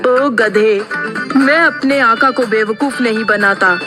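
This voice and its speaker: A man's voice: extremely high pitch, slow, over music. A man voicing a tricky magical genie in a cartoon moral story, theatrical and servile. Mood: grand. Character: magical male genie from a lamp, literal-minded and tricky.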